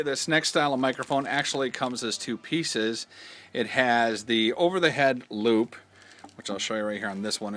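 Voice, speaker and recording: A man giving an informal, step-by-step product demonstration, explaining in a halting way as he goes. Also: mid-range voice, pushed and bright. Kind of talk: hands-on instructional demonstration.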